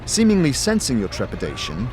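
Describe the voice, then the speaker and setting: A man's voice: medium pitch, huge pitch swings, brisk, noisy background. A man giving dramatic, scripted second-person narration for a fantasy audio drama. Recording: music behind the voice.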